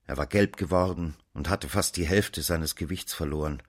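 An actor narrating in the first person as a former investigating judge in a radio drama, measured and sober. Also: male voice, low voice. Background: music underneath.

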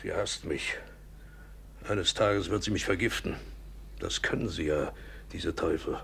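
An actor in a German radio drama playing a defeated colonial trader, bitter, resentful and sardonic. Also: male voice, sits low, unhurried, gravelly, a bit bright, slight background noise, heavily acted. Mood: bitter contempt.

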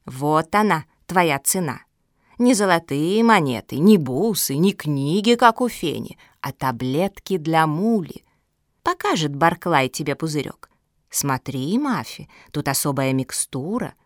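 A woman voicing a sharp-tongued, cynical female squirrel in a storybook, domineering and insinuating. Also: sits low, lively intonation, measured pace, no room sound, clean studio sound. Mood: triumphant. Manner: insinuating, triumphant, interrogating.

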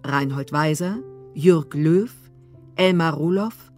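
A woman narrator reading scene headings and credits for a radio play in a measured, sober way. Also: sits low, darkish tone. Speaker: narrator and announcer. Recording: music under the voice.

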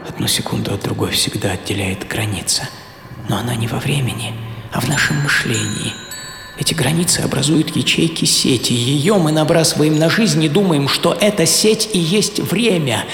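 Brooding melancholy man over loud music. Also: fairly high pitch, creaky. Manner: theatrical, brooding, dramatic pauses and outbursts. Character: melancholy, bitter illegitimate-born banker turned mystic writer, recalling his life. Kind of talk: dramatised first-person radio monologue.

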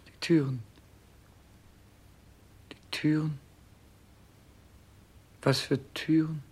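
An actor playing a dying, bewildered, self-absorbed king in a 1960s German radio play, declaiming in a halting, incantatory way. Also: a little high, flat delivery, a little mellow, slightly rough, exaggerated voice. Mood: dazed, fading.

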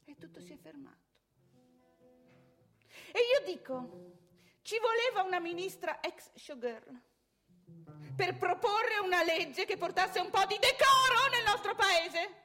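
A woman's voice: very high voice, lively intonation, measured pace, long pauses, soft background music, exaggerated voice. An actress playing an indignant, respectable middle-class Italian mother in a theatrical monologue, emphatic and moralizing. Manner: emphatic, moralizing, swinging from hushed to loud.

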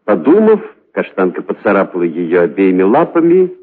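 Man, children's story narration, very animated intonation, dramatic emphasis, slightly raspy, narrow telephone-like recording. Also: sits low, normal speed. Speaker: audiobook narrator. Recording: thin, narrow-band phone-like sound.

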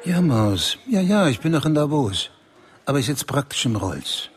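An actor playing a self-important German banker in a radio play, bossy and clipped. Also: male voice, mid-range voice, big pitch swings, normal speed, faint music behind, close to an everyday voice. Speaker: banker at a summit.

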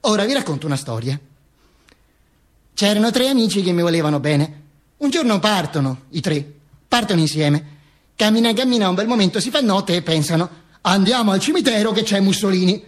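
An actor playing a dead Mussolini who narrates from the grave in a theatrical monologue, stammering and repeating himself, with mock-heroic declamation. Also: male voice, high voice, lively intonation. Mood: wry storytelling. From Italy. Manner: stammering, self-correcting, repetitive, slipping into slow declamation. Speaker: stage actor performing a monologue.

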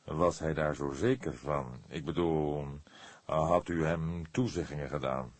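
A man voicing a calm older police detective in an audiobook, probing in a hesitant, deliberate, quietly sceptical way. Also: extremely low pitch, no echo, voice pushed down.

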